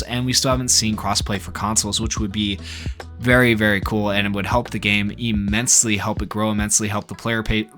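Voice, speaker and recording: A man's voice: on the low side, a bit bright. A man speaking off the cuff as a gaming YouTuber, giving informal, rambling commentary on a game. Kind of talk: casual video commentary on a game. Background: background music.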